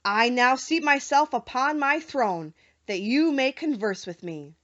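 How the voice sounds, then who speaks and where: A great and terrible storybook wizard, performed by a woman, speaks with solemn, booming self-importance. The words are loud and grandly pronounced, and the recording is slightly dull. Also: expressive, a little slow. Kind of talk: audiobook character voice.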